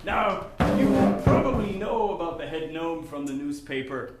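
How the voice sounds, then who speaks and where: A woman's voice: low voice, moderate pace, loud music behind the voice. A woman improvising an earnest young male gnome who also narrates, performed live on stage. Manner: earnest, lively, laughs often. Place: theatre stage. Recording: roomy live stage, distant mic.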